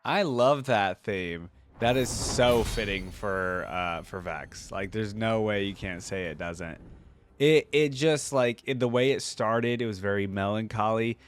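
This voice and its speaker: A man's voice: on the low side, unhurried, a little hiss or hum. A man giving casual reaction commentary in an enthusiastic, conversational way, as if thinking aloud. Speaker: reaction video creator. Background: background music.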